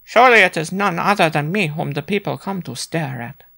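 A man's voice: a little high, brisk, vocal fry, bright, forceful tone, dry recording, voice pushed up. A man voicing an aloof giraffe boy with a lofty, superior manner, as a theatrical audiobook character. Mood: condescending.

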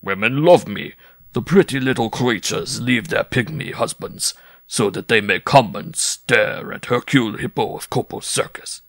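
A man voicing a boastful, sleepy giant from a circus sideshow, drawling in a slow, pompous way. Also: medium pitch, a bit bright, no room sound, studio quality.

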